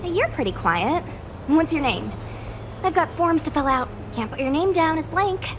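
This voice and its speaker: A woman voicing an eager, bossy young female knight in an anime-style game, brisk and a little scatterbrained. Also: high-pitched, animated, background noise. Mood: officious, flustered. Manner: brisk, assertive, informal.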